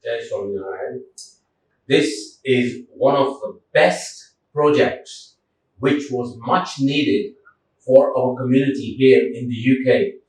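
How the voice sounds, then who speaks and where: A man's voice: mid-range voice, takes it slowly, a little mellow. A man speaking gratefully as a community volunteer, recorded from a distance in an echoey room.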